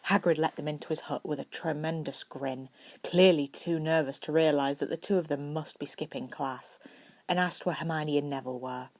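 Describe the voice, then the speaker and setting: A woman's voice: low-pitched, steady tone, normal speed, darkish tone. A woman reading a story aloud as an amateur narrator, now and then stumbling and correcting herself.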